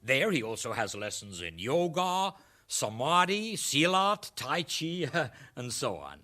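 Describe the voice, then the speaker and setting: A man's voice: mid-range voice, very animated, slow pace, bright, forceful tone, heavily acted. A man playing an effusive, pompous foreign ambassador in a satirical radio drama, hesitating theatrically. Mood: gushing. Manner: theatrical, hesitating with fussy ehs and uhs.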